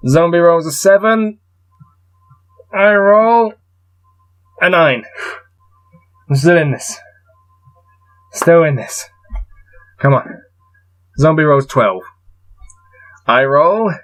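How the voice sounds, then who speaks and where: A man reading a gamebook aloud and adding low-key, wry muttered commentary as he plays. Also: fairly bright tone, low-quality recording. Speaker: solo gamebook player and reader.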